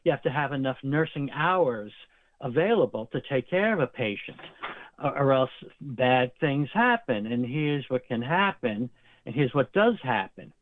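A man's voice: mid-range voice, big pitch swings, moderate pace. A man in an interview, explaining nursing and medical terms at length, as a healthcare guest. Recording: tinny phone line, dry.

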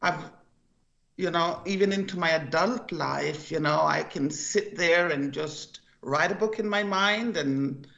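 A woman's voice: fairly low pitch, measured pace, gravelly, a bit bright, lo-fi sound. An older woman from Costa Rica, a guest author in an interview, who talks in a chatty, storytelling way and tends to repeat herself. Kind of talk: interview conversation.

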